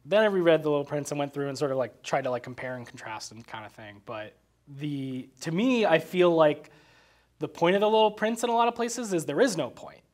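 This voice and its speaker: A man with a fairly high voice talks casually about a film. He works out his thoughts aloud, circling back and restarting in a halting, exploratory way. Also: animated.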